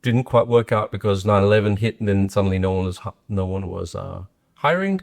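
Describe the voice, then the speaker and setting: A man's voice: fairly low pitch, a little fast, gravelly. A man in his forties from Australia hosting a podcast, chatting casually with lots of fillers and tag questions. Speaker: podcast host. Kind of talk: casual interview chat.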